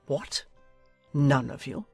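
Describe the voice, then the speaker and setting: A woman's voice: very deep voice, lively intonation, takes it slowly, gravelly, mellow, breathy edge, dry recording, soft background music, full character voice. A woman playing an imperious, nosy older noblewoman in a dramatized audiobook, haughty and decisive. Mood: incredulous, disapproving. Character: imperious, nosy older aristocratic English lady.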